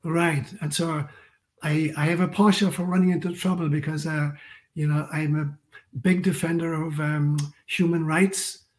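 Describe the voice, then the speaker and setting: A man's voice: fairly high pitch, fairly even intonation, unhurried. An older man with an Irish accent, telling his story conversationally as an interview guest. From County Cork, Ireland. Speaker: guest author and priest.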